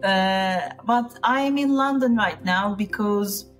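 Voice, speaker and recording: A woman's voice: medium pitch, slow, faint music behind. A woman from Turkey with a Turkish accent, talking casually as an interview guest and often searching for words. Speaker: interview guest, piano teacher.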